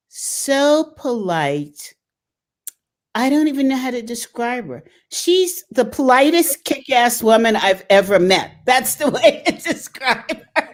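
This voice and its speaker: A woman hosting a live show, introducing her guest in a chatty, playful way. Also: a little high. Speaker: host.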